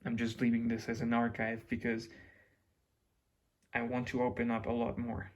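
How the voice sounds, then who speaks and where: A man's voice: mid-range voice, monotone, normal speed. Young Mexican-accented man, mid-range voice, thinks aloud in a loose vlog ramble with frequent restarts. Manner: rambling, searching for words, many restarts. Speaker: YouTuber. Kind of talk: unscripted reflective monologue.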